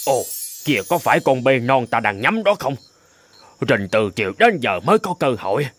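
A man voicing a boastful but cowardly tiger king in an animated folk tale, theatrical and easily panicked. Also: medium pitch, normal speed, a little hiss or hum. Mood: puzzled, anxious.

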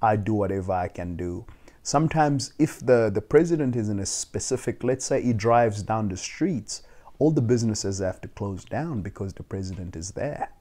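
A man's voice: on the low side, expressive, moderate pace, mellow. A man in his twenties, raised in South Africa, being interviewed on a living-room couch, talking thoughtfully with frequent restarts. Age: 20s. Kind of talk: casual sit-down interview. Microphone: lapel mic.

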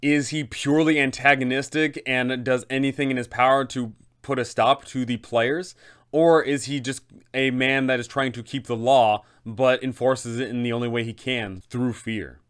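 A man's voice: medium pitch, steady tone, moderate pace, fairly bright tone, smooth. Emphatic man hosting a game guide. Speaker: host of a tabletop game master guide. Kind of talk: enthusiastic explainer to camera.

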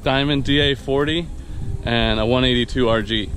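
A man's voice: mid-range voice, steady tone, normal speed, fairly bright tone, soft background music, low-quality recording. Young man vlogging while walking outdoors. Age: young adult. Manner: rambling, enthusiastic, many fillers and restarts. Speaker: vlogger and new private pilot. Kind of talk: casual aircraft review to camera. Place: outdoors on a wooded dirt path. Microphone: handheld action camera at arm's length.

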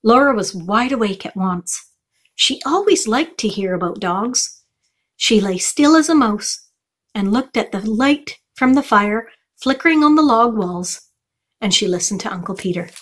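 A woman's voice: a little high, expressive, a little slow. A middle-aged woman reading a children's chapter book aloud as a storytime reader. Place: small furnished room indoors.

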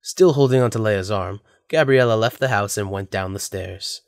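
A man's voice: fairly low pitch, moderate pace, creaky, no echo, studio quality. A man narrating a scripted audio drama as its storyteller.

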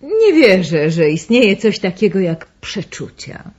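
A woman's voice: medium pitch, sing-song, unhurried, a little mellow, slightly rough, dull audio. An actress playing a sceptical, fatalistic society woman in a radio drama, with a dismissive manner.

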